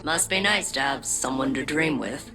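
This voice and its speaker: Low-voiced, sardonic companion. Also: female voice, lively intonation, moderate pace, music in the background. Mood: wistful. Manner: sardonic, casual. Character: wry, sarcastic female companion, cool and needling. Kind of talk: video game cutscene acting.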